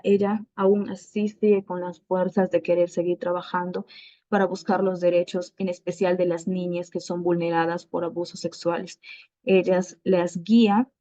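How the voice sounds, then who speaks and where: A woman presents haltingly, with pauses and restarts. Her voice is low and mellow, and it comes through dry and muffled, like a lo-fi recording. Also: no echo. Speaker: student presenter. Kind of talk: online talk presentation. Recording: dull dry lo-fi sound.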